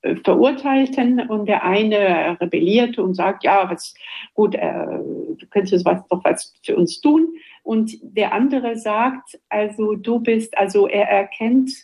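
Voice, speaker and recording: Slow, halting Belgian-born woman professor. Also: medium pitch, mellow, poor audio. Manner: slow, halting, searching for words. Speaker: guest lecturer, professor. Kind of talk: radio discussion of scripture.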